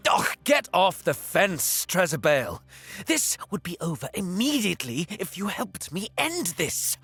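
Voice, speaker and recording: A man's voice: high voice, big pitch swings, unhurried, a bit bright, slightly rough, heavily acted. A man playing a bitter, scheming young alien prince in an audio drama, with theatrical, disdainful delivery that savors cruelty. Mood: furious. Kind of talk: dramatic first-person narration and dialogue, audio drama.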